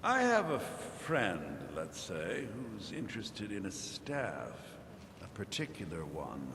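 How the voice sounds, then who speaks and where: A man playing a shady, matter-of-fact mage fence in a video game, speaking in a sly, measured, nonchalant way. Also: wildly swooping pitch, full character voice. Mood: sly, businesslike. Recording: very echoey hall, loud rumbling noise.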